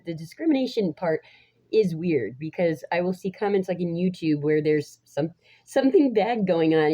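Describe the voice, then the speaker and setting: A woman in her thirties with a raspy voice, talking to viewers in a halting, rambling casual vlog, often restarting and laughing between phrases. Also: lowish voice, mellow. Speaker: vlogger. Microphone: phone.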